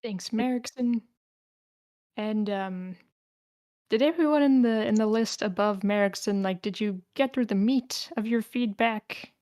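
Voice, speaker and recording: A woman with a medium-pitched voice moderates a group session in an informal way. The sound is dry, with no room around her. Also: a little slow, a little mellow, no room sound. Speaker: moderator of a table read session. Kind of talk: casual meeting facilitation.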